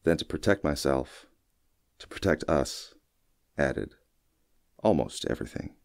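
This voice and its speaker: A man with an extremely low voice narrates a short story in the first person. His delivery is measured and folksy, like scripted storytelling for an audiobook. The recording is dry and close, with no room sound. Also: expressive, gravelly, a little mellow, no room sound.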